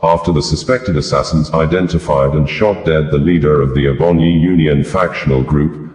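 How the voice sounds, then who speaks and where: Deep-voiced male news reader, roomy sound. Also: normal speed, a little mellow. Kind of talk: scripted news narration.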